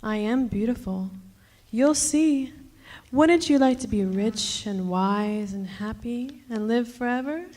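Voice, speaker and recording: A woman's voice: on the high side, slow pace, darkish tone. An actress playing a seductive, commanding immortal witch in a radio drama revival, coaxing and self-assured. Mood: commanding, alluring.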